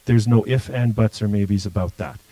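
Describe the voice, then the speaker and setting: A man's voice: on the low side, fairly even intonation, normal speed, dark tone, no room sound, poor audio. A middle-aged man, answering questions as a political candidate in a blunt, forthright way. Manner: blunt, forthright, restarts often. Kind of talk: livestream interview answers.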